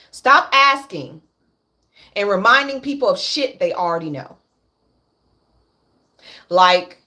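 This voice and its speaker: A woman's voice: lowish voice, pushed and bright. A woman in her late thirties speaking on a candid livestream, blunt and profane, repeating her points for emphasis. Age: 30s. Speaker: livestream host.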